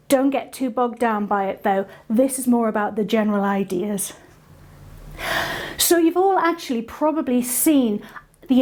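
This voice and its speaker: A woman hosting a casual online singing lesson, chatty and playful with quick asides. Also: a little high, a little mellow. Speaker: singing teacher and host.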